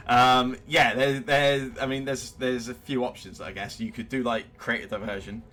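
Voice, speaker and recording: A man's voice: medium pitch, steady tone, unhurried, a bit bright, a little hiss or hum. A man acting as game master in casual tabletop play, talking through rules and options in an accommodating way.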